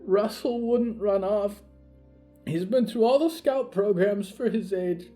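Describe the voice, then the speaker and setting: A man's voice: sits high, slow pace, dark tone, soft background music, pitched above the performer's own voice. A man voicing a distraught, defensive mother in an audio drama, pleading and agitated. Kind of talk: audio fiction story acting.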